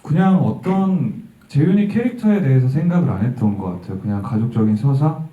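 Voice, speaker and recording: A male actor answering an audience question, thoughtful and halting, with soft laughs. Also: mid-range voice, animated. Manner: reflective, halting, laughs softly. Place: event hall. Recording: tinny, distant sound in a roomy hall.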